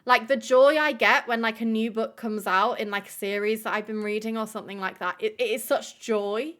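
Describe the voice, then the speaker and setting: A woman's voice: a little high, fairly even intonation, brisk, a bit bright. A woman hosting a live stream, chatting casually with viewers, filling her talk with "like" and swearing freely. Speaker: livestream host.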